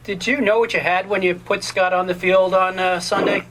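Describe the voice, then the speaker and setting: Bright, higher-pitched male reporter. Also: fairly even intonation, normal speed. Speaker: sports reporter. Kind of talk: press questioning. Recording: phone call with faint noise.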